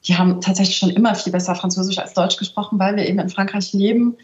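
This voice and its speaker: A woman's voice: mid-range voice, fairly even intonation, fast. Thoughtful middle-aged woman, roomy dull line. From northern Germany, near Celle. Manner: thoughtful, searching, frequent "ne?" and "also". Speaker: literary translator, interview guest. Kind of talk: reflective interview talk. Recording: roomy, slightly dull remote audio.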